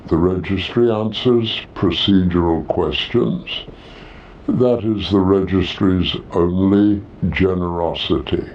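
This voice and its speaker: A man voicing a grave alien officer of a registry in an audio story, speaking in terse, formal, measured phrases. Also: low-pitched, highs cut off. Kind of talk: scripted audio story character dialogue.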